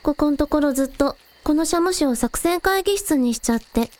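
A woman's voice: high voice, animated, brisk, a little mellow. A woman playing a spirited, caring young village girl who leads her team, casual and earnest, in a radio drama.

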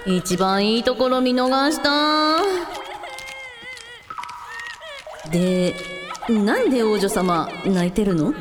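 Woman playing a lively, cheeky youngster. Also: mid-range voice, measured pace, background noise, natural voice. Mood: surprised, curious. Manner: casual, blunt, excitable. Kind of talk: Japanese radio drama acting. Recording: echoey hall reverb, clear music. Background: music.

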